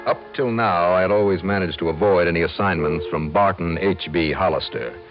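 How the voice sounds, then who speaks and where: An American actor playing a wry, easygoing insurance investigator in an old-time radio detective drama, with a sardonic, conversational manner. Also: male voice, sits low, brisk. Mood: brisk, wry. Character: wry, breezy freelance insurance investigator, hard-boiled but easygoing. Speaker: narrator and lead actor of a detective serial. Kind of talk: old-time radio detective acting and first-person narration. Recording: muffled vintage radio recording with music.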